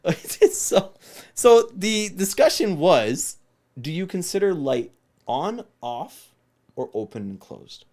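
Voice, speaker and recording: A man co-hosting a podcast, expressive and quick to exclaim in casual banter. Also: high voice. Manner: expressive, laughs and exclaims a lot. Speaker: podcast co-host and musician.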